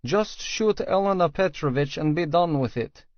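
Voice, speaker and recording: Man putting on a higher-pitched, scornful Russian gangster. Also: animated, moderate pace, no echo, lightly acted. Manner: scornful, dismissive. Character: Alexei, grumpy scoffing male Russian gangster. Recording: dry, slightly dull studio sound.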